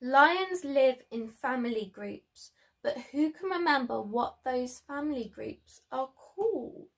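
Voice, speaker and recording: A woman speaking to young children as a preschool storyteller, with expressive emphasis and simple phrasing. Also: high-pitched, slow.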